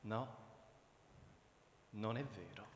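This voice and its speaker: A man playing a cold, menacing impostor brother in a radio drama, speaking in an icy, deliberate way. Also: on the low side. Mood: icy menace. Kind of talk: theatrical radio drama dialogue. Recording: reverberant hall.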